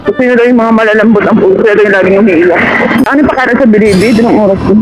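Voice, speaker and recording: A woman's voice: medium pitch, fast, noisy background. A woman in her forties, talkative and frank, bantering casually as a passenger on a motorcycle taxi over a helmet intercom. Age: 40s. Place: on a motorcycle on the road. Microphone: helmet intercom headset. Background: prominent music.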